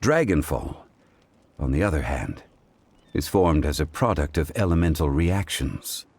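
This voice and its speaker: A man playing a calm, learned gentleman in a video game, speaking in a measured, formal, pensive manner. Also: very deep voice, slightly rough, dry recording, full character voice. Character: calm, learned, dignified gentleman consultant of ancient wisdom. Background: game music.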